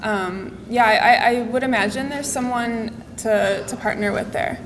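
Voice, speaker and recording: A woman organizer speaking on a conference panel. She talks in an upbeat, halting way with frequent ums. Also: on the high side. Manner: hesitant, many "um" fillers, upbeat. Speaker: advocacy staff organizer for the Midwest region. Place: conference hall. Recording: reverberant hall with faint noise.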